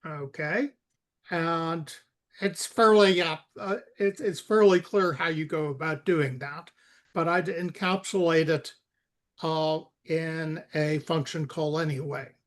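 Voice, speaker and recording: Male coding mentor, fairly high voice, unhurried and halting delivery, informal step-by-step instruction. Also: steady tone. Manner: halting, repeats words, spells things out. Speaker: software expert guiding a coding session.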